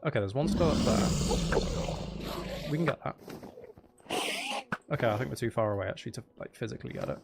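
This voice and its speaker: A man doing live, casual video game commentary as a streamer, animated and given to exclaiming and playful complaints. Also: mid-range voice, brisk, gravelly, long pauses, heavy background noise.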